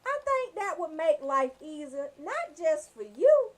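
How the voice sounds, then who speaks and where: A woman's voice: high voice, sing-song, unhurried. A woman telling comic stories as a radio show host, brash and freely swearing.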